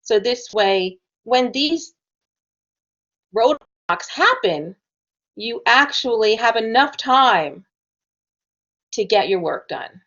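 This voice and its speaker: A woman's voice: fairly high pitch, expressive, takes it slowly, long pauses, a bit bright, dull audio. A woman researcher on a panel, giving candid, practical advice. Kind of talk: panel discussion.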